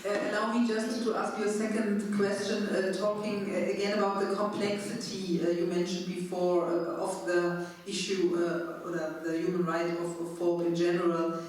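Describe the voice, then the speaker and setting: A woman's voice: lowish voice, little pitch movement, normal speed, a little mellow. A woman moderating a panel debate, polite and a little hesitant as she thanks speakers and asks questions. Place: large conference hall. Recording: echoey hall, voice far off.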